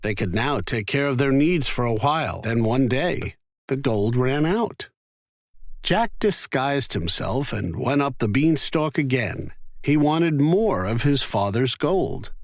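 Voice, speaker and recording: A man tells a children's fairy tale at a slow, careful pace. His mid-pitched voice rises and falls expressively, and the recording is dry and close. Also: dry recording. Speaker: storyteller.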